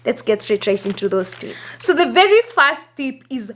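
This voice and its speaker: High-voiced hoarse woman vlogging. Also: very animated, moderate pace, fairly bright tone. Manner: chatty and upbeat, laughs often between phrases. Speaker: YouTuber. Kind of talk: casual vlog talk to camera.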